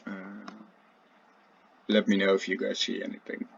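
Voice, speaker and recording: A man speaking briefly and practically, a little halting, in a group video call meeting. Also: low-pitched, completely flat delivery, gravelly. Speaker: project group member. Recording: distant, muffled video call audio.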